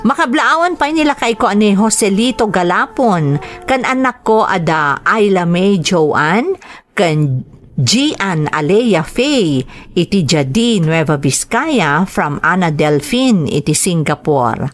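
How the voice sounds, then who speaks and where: A woman's voice: on the low side, animated, takes it slowly. A woman with an Ilocano accent hosting a drama programme, reading out greetings and listener letters.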